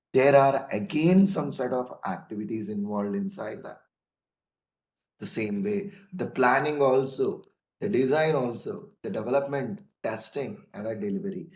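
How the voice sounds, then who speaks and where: A hoarse man with a cold, teaching a casual online lesson on software testing, calling his listeners "guys" and repeating his points. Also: fairly low pitch, reverberant, rough recording. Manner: slow and repetitive, addresses listeners as "guys".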